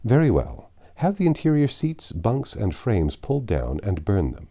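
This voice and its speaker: Man reading as a composed, low-voiced English gentleman. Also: moderate pace, full character voice. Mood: resolute. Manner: calm, formal, direct.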